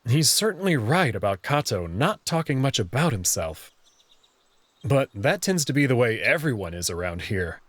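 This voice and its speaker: A man's voice: mid-range voice, big pitch swings, normal speed, a bit bright, dry recording. A man reading first-person visual novel narration and dialogue as the story's protagonist.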